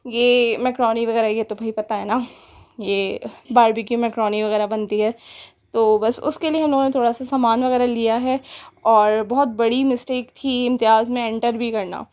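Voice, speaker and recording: A woman's voice: fairly high pitch, fairly even intonation, normal speed, a little mellow. A young woman with a Pakistani Urdu accent narrating a shopping vlog in a chatty, rambling way with many fillers. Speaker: vlogger.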